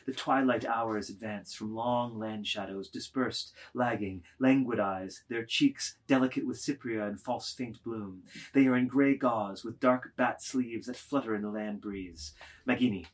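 A man's voice: medium pitch, unhurried. A man reading scripted literary narration expressively, with rhythmic, emphatic delivery of lists of words.

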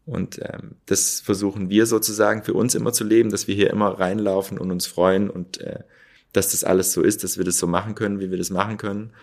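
A man's voice: fairly low pitch, little pitch movement, brisk, darkish tone. A man who founded a hotel, talking reflectively as an interview guest, searching for words with many fillers.

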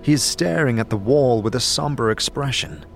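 A man's voice: medium pitch, moderate pace, vocal fry, soft background music. A man narrating a scripted fantasy story in the second person, setting the scene as a storyteller.

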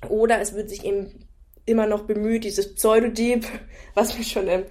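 A woman's voice: mid-range voice, flat delivery, normal speed, a little mellow. Critical woman reviewing a series. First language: German. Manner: critical, thinks aloud with restarts. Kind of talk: casual review chat.

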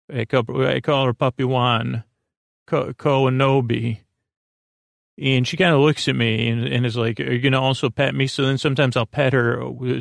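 A man hosting a bedtime podcast in a casual, reassuring, rambling monologue, halting often and trailing off to restart his thoughts. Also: medium pitch, gravelly, dry recording, clean studio sound. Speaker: podcast host and bedtime storyteller.

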